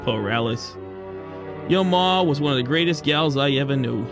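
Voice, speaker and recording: A man playing a wisecracking, soft-hearted penguin private detective in a radio drama, narrating and talking in old-time gumshoe slang. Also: medium pitch, lively intonation, normal speed, over music, lightly acted. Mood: fond, excited. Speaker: lead actor and narrator.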